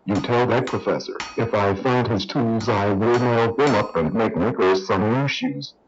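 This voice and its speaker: An actor playing a gruff, quick-tempered working man in a children's audio story. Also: fairly low pitch, lo-fi sound, soft background music, close to an everyday voice. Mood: grumbling. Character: gruff, quick-tempered man, an angry professor or grumbling farrier.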